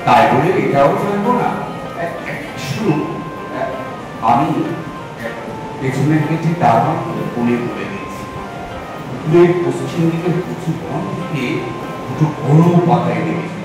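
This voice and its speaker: A man acting in an audio drama as an eager, romantic older gentleman, expressive and coaxing, hesitating and repeating himself. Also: mid-range voice, a little slow, pauses often, close to an everyday voice. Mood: eager, playful. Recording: very echoey, distant, music behind. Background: background music.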